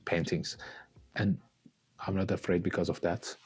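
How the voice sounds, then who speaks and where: Slow, deep-voiced Iranian man, hesitant. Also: flat delivery, breathy edge. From Iran. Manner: hesitant, pausing with many uh fillers. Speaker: artist guest. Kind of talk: casual interview chat.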